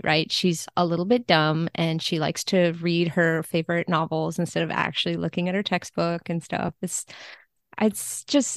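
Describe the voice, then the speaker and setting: A woman's voice: lowish voice, creaky, no room sound, studio quality. A woman speaking as a podcast guest in a casual interview, enthusiastic and informal about her work as a literary translator.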